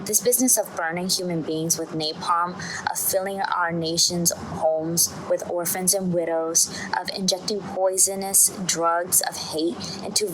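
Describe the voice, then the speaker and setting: A woman's voice: fairly low pitch, fairly even intonation, a little slow. A woman reading a formal speech aloud as part of a performance. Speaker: reader in a student theatre performance. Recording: clear background noise, ambient sound.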